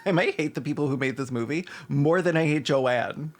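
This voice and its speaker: A man's voice: on the high side, normal speed. A man hosting a video essay with snarky, mocking, sometimes sweary scripted commentary and asides.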